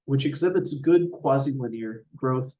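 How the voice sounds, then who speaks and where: A man giving a scripted technical conference presentation in a measured, formal, explanatory way. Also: medium pitch, dark tone. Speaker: researcher presenting a paper. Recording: roomy, distant mic, dull sound.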